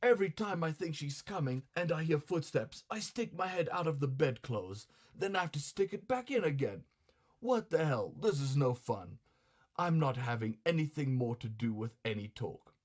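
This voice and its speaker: A man's voice: a little high, lively intonation, moderate pace. Man acting a grumbling, hot-tempered male customer. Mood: grumbling, impatient. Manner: indignant, sarcastic, colloquial bluster. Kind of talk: dramatized comic storytelling.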